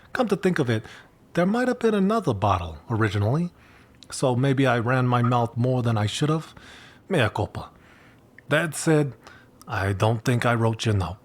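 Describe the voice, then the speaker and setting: An actor playing a cocky older man, a breezy, self-satisfied big spender who talks in slangy, boastful rambles. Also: mid-range voice.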